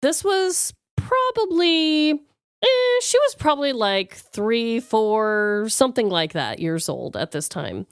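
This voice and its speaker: A woman with a slightly high voice that swoops with animation. She chats casually as a podcast co-host, expressive and giggly, free with swearing. The sound is dry and close. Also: slow pace, clean tone, no room sound. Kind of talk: casual chat, animated personal storytelling.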